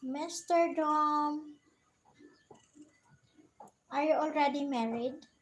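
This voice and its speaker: High-voiced Filipina woman in her forties. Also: very slow. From Philippines. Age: 40s. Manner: chatty, asks viewers questions, laughs often. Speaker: vlogger and live streamer. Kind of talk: casual live-stream chat with a viewer.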